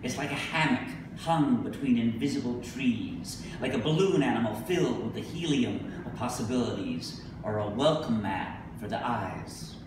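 A middle-aged man giving a live poetry reading, speaking deliberately with emphatic pauses. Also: medium pitch, animated. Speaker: poet. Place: indoor venue with a staircase, live reading. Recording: echoey room from a distance, noisy.

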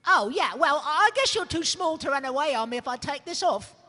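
A man's voice: extremely high pitch, lively intonation, a little fast, pushed and bright, heavily acted. A man voicing a dim, cheerful cartoon boy mouse in a comic live script reading. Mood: curious.

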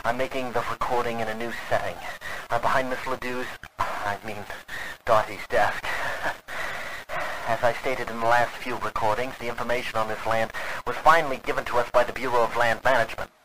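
Man acting out methodical 1920s male investigator, formal dictation, medium pitch, noisy vintage recording, radio drama. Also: fairly even intonation. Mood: methodical, intent. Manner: precise, formal, deliberate.

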